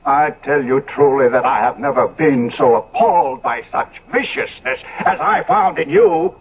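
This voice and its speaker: An actor playing a decadent, world-weary, easily appalled gentleman in 1970s radio drama, with an exclamatory, declamatory delivery. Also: medium pitch, very animated, moderate pace, vocal fry, slightly rough, exaggerated voice. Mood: indignant. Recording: dull vintage radio recording.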